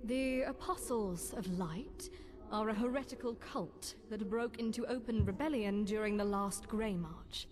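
A woman playing a solemn, loyal demon warrior in scripted game dialogue, measured and formal. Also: mid-range voice, a little hiss or hum, lightly acted.

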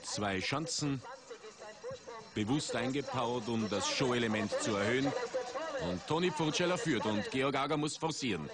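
A man commentating a ski race for TV, measured and rising in excitement at big moments. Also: mid-range voice, loud music behind the voice. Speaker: sports commentator. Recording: 1980s TV broadcast with outdoor noise.

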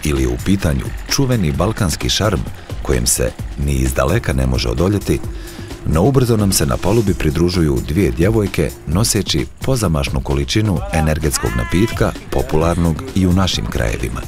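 Very deep male documentary narrator. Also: animated, fairly quick. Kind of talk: scripted voice-over narration. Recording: voice-over with music behind.

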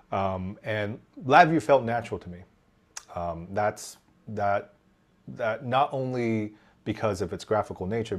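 Guest from Taiwan, forties, lowish voice; lively storyteller who restarts mid-sentence; headset mic on a video call. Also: male voice. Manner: animated, gestures while talking, frequent restarts. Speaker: podcast guest, engineer. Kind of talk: casual interview storytelling. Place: home room indoors.

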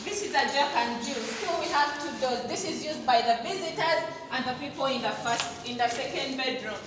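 A woman's voice: high-pitched, moderate pace. A young Ugandan woman with a Ugandan accent, leading an enthusiastic walking tour of an unfinished apartment building as a property sales guide. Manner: enthusiastic, showing rooms with wide gestures. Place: unfinished concrete apartment building, indoors and outdoors. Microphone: phone camera held by someone following her, at a distance. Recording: echoey bare rooms, distant, noisy.

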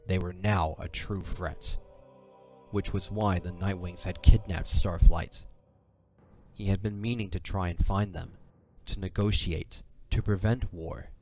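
A man's voice: sits low, darkish tone. A man narrating a scripted, dramatic fantasy story as the narrator of an audio drama. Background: music.

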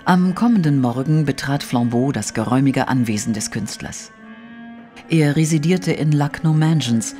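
A man's voice: mid-range voice, normal speed, music in the background. A man narrating a scripted audio drama as its storyteller.

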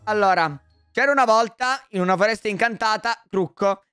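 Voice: mid-range voice, a little fast, a bit bright, clear, resonant tone, dry recording. Playful roleplay host over background music. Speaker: host of a roleplay gaming video. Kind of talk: playful group roleplay banter. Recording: music behind the voice.

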